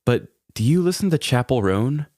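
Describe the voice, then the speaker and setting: A man's voice: mid-range voice, animated, normal speed, darkish tone, no room sound, studio quality. An American man co-hosting a podcast, chatting casually and comedically with gushing enthusiasm. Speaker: podcast co-host.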